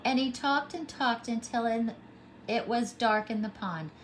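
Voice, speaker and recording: A woman's voice: fairly high pitch, unhurried, slight background noise, rough recording. A woman pre-K teacher reading a storybook aloud to young children, expressively and with simple explanations.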